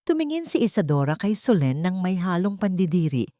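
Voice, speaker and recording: A woman's voice: fairly low pitch, very animated, brisk, mellow, no echo, studio quality. A woman reading a scripted audiobook story as a dramatic narrator.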